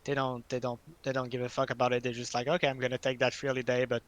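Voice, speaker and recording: A man from Quebec, a native French speaker with a Quebec French accent, chatting casually and haltingly, repeating words as he searches for phrasing. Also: medium pitch, monotone. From French-speaking Quebec, Canada. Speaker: study group participant. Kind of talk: casual group chat.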